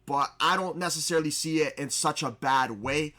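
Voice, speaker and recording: Bright, higher-pitched male streamer. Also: normal speed. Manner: rambling, restarts and trails off. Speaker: streamer reacting to a video. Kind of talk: casual reaction commentary.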